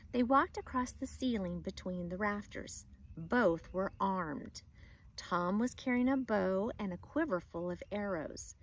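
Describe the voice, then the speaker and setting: Expressive teacher reading aloud to kids; woman, lively pitch, questioning. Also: husky. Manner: expressive, asks students questions. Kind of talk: read-aloud lesson for children.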